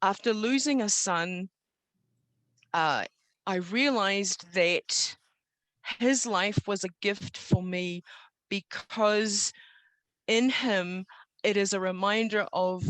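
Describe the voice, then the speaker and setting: A middle-aged woman with a New Zealand accent, sharing personal motivational stories in an earnest, reflective and deliberate way on an online call. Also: medium pitch, a bit bright, no echo. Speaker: guest storyteller. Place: online video gathering. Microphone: video call.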